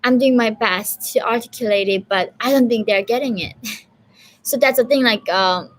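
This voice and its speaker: A woman's voice: fairly high pitch, moderate pace, slight background noise, low-quality recording. A woman from North Korea with a Korean accent, answering viewer questions in an earnest, casual live stream with lots of fillers. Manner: earnest, talkative, many fillers and restarts.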